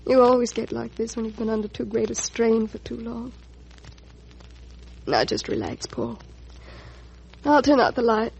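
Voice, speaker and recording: A woman's voice: a little high, lively intonation, moderate pace, gravelly, pauses often, darkish tone, slightly rough, dull audio, heavily acted. A woman playing an affectionate, soothing wife in an old-time radio soap. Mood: tender, soothing. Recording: noisy old radio drama recording.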